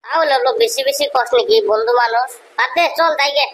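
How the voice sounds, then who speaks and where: A man voicing a cheeky, scheming village friend in a comic cartoon, bantering in a rural Bengali dialect through a pitch-raised voice. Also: fast, fairly bright tone, exaggerated voice. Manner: brazen, persuasive, bantering. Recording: music behind the voice.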